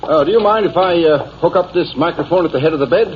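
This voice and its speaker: A courteous institution superintendent played by a male actor, official yet hesitant, talks quickly. It is 1940s radio drama on a dull old recording with faint noise. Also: a little high, lightly acted. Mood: polite, businesslike.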